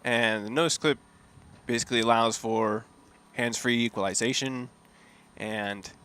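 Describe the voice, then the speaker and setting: A man calmly commentating on a live freediving competition, admiring and easygoing, with frequent filler pauses. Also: medium pitch, clear, resonant tone. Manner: relaxed, admiring, many fillers. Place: outdoors at a seaside dive event.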